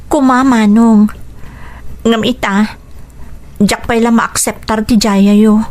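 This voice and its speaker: Actress as a high-voiced, dutiful young daughter. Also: female voice, fairly even intonation, takes it slowly, darkish tone. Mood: disappointed. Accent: Filipino. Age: young adult. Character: dutiful, downcast young daughter longing to work abroad. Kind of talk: Ilocano radio drama acting.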